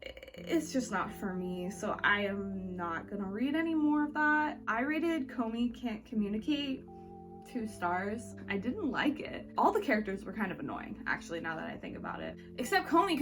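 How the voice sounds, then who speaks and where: A woman's voice: on the high side, very animated, normal speed. A woman giving a casual, chatty review as a vlog host, speaking informally with "like" sprinkled through her sentences. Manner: informal, peppered with "like". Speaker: book reviewer hosting a reading wrap-up vlog. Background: background music.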